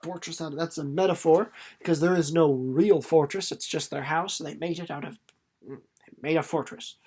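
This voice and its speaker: Young man, higher male voice, storybook read-aloud, casual commentary, chatty, musing aloud. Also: normal speed, a little mellow, breathy edge. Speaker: chapter reader and commentator.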